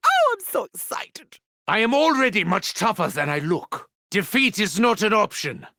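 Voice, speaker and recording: An actor playing a gleefully sadistic German mad scientist villain in a video game, theatrical and grandiose, with a German accent and mocking, formal phrasing. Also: male voice, high voice, huge pitch swings, normal speed, bright, forceful tone, dry recording, studio quality, full character voice. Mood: manic, gleeful. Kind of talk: video game character voice lines.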